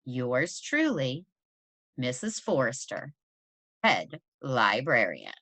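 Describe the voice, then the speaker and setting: A courteous, admiring head librarian from a children's storybook, voiced by a woman reader. She speaks slowly and enthusiastically, with big swoops in pitch. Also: big pitch swings, fairly bright tone, dry recording, exaggerated voice. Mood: warm, admiring. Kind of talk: storybook character voice.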